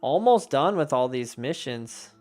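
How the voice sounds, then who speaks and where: A man's voice: mid-range voice, sing-song, measured pace, darkish tone, no room sound, soft background music. A man streaming a game and chatting casually with his viewers, answering their messages aloud in a rambling way with frequent restarts.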